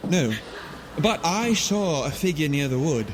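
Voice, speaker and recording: Haunted young gentleman, lightish pitch, formal, earnest, hesitant, noisy background. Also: animated, a little slow. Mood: earnest pleading. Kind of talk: audio drama acting.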